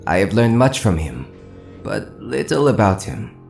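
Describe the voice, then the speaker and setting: Man acting a low-voiced honorable swordsman. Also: sing-song, a little slow, gravelly, darkish tone, faint music behind, heavily acted. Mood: earnest, formal. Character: honorable, formal swordsman, devoted pupil of a master. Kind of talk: dramatic fantasy audio drama.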